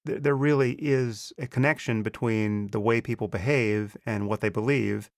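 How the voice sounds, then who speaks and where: Podcast host guy, gravelly voice, calm and thoughtful, stumbles a bit on restarts. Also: fairly low pitch, dry recording, clean studio sound. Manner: measured, thoughtful, some stammered restarts. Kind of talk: conversational interview.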